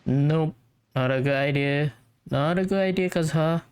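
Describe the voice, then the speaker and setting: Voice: medium pitch, normal speed, darkish tone, clear, resonant tone. A gamer giving casual commentary over gameplay in brief, offhand remarks.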